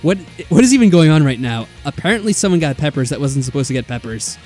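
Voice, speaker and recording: A man doing casual let's play commentary, reading game text aloud with animated, mildly sarcastic reactions and some swearing. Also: a little high, fast. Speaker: let's player. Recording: music behind the voice.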